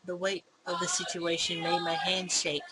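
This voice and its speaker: A woman's voice: lowish voice, flat delivery, moderate pace. A woman hosting a gaming video, narrating a visual novel dramatically and commenting casually. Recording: clearly noisy background.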